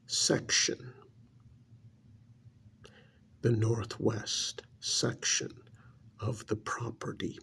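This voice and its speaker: Guy doing snooty annoyed billionaire uncle for asmr, lowish breathy voice, super slow n sarcastic. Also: a bit bright, a little hiss or hum, exaggerated voice. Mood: stern disbelief. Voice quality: gravelly, husky, breathy. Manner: slow, condescending, drawn-out sarcasm with pauses. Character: haughty, irritated billionaire uncle, older man, coldly sarcastic. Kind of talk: ASMR roleplay monologue.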